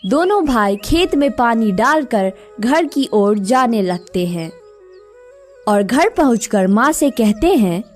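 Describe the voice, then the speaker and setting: A woman narrates a scripted moral tale in a fairly high voice. She takes her time with each sentence, very slowly, as a storyteller does, over faint music. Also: expressive.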